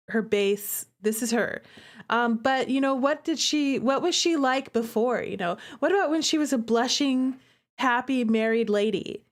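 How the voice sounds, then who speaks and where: A woman streamer giving casual, expressive and giggly commentary on a game she made herself. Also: a little high.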